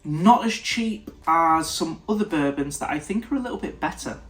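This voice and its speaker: A man's voice: on the high side, expressive, normal speed, a bit bright, soft background music. A man with a congested, nasal voice, giving a casual whisky tasting review and often stopping to restart his sentences. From United Kingdom. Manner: thoughtful, stops and restarts mid-sentence.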